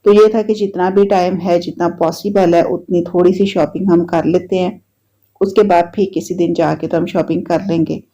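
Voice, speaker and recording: A woman with a Pakistani Urdu accent talks in an easygoing, rambling way, circling back to the same points as she narrates her home vlog. Her voice is mellow and warm, with a slight roughness from a sore throat. The recording sounds dull and lo-fi. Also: fairly low pitch, normal speed. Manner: chatty, repetitive, relaxed storytelling. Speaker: vlogger. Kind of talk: casual voice-over narration.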